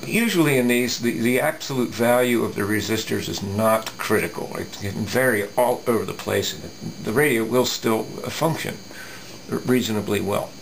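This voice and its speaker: A middle-aged man giving a casual hands-on technical explanation, thinking aloud haltingly with folksy asides. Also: on the low side, vocal fry, slight background noise, poor audio. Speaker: radio repair hobbyist presenting. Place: indoor electronics workbench.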